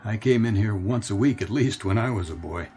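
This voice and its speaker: An American actor playing a nostalgic, bewildered middle-aged man in a radio drama, speaking earnestly and haltingly. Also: on the low side, dark tone, lightly acted. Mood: wistful, friendly. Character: nostalgic, bewildered man revisiting his boyhood town. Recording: studio radio drama with music bed.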